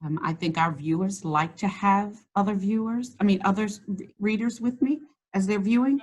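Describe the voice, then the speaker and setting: A woman hosting a children's read-aloud, encouraging and slow, stretching words as she reads. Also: mid-range voice. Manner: warm, encouraging, slows and stretches words when reading. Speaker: school district host reading to children. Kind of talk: read-aloud lesson and chat.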